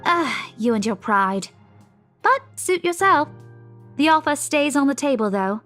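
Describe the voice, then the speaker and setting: A high-pitched young schoolteacher in a visual novel, motherly and caring, given to kindly nagging. Faint music plays behind her. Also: lively intonation, unhurried, fairly bright tone. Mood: brisk, fond. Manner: nagging yet kind.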